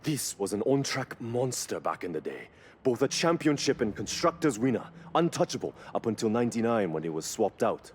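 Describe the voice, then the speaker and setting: An actor playing an earnest, admiring car mechanic in a video game. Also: male voice, mid-range voice, sing-song, a little fast, a little mellow, a little hiss or hum, lightly acted. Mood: admiring, thoughtful. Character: Yuji, earnest, reverent male car mechanic. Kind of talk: video game cutscene voice acting.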